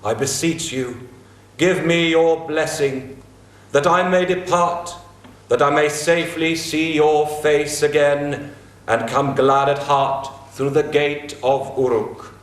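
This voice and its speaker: A man's voice: on the high side, fairly even intonation, slow. A man reading the part of a proud young warrior king in a staged reading of an old epic. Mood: pleading. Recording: echoey room, mic at a distance.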